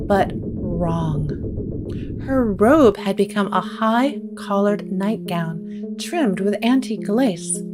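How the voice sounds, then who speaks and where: A woman narrating a scripted gothic story with deliberate, dramatic pauses. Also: medium pitch. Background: plucked guitar music.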